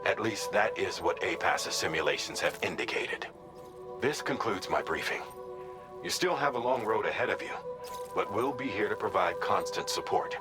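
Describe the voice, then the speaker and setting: A man performs a composed male operations commander for a sci-fi video game. He briefs in a fairly low voice, formal and orderly, laying out instructions step by step. Music plays clearly underneath. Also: animated, moderate pace, lightly acted. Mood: composed, instructive. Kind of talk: scripted mission briefing.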